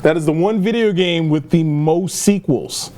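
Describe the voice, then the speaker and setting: A man's voice: fairly high pitch, moderate pace, a little mellow, slight background noise. A man chatting casually and giving his opinions as a podcast host. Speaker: podcast host reviewing films and games. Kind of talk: casual chat.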